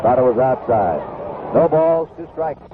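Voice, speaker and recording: A man's voice: medium pitch, expressive, measured pace. Tinny, noisy American baseball play-by-play man. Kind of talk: live radio play-by-play commentary. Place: open-air ballpark booth. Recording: tinny, very noisy 1970s radio broadcast.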